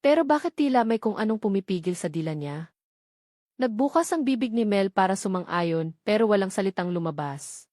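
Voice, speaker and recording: Female audiobook narrator for dramatic fiction: medium pitch, measured phrase-by-phrase delivery, very dry sound. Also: expressive, a little mellow, no room sound. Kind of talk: scripted dramatic story narration.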